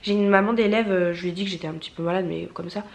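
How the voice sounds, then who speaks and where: Femme enrhumée qui papote, nez bouché. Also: female voice, fairly low pitch, fairly even intonation, very fast, gravelly. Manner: rambling, many fillers and restarts. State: stuffy nose from a cold. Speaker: vlogger. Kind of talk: casual vlog chat to camera.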